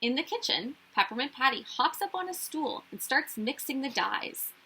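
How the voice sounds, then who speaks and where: A woman reads a children's picture book aloud. Her voice is fairly high and bright, slightly husky, and moves up and down with lots of expression. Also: big pitch swings, normal speed, low-quality recording. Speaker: children's story reader.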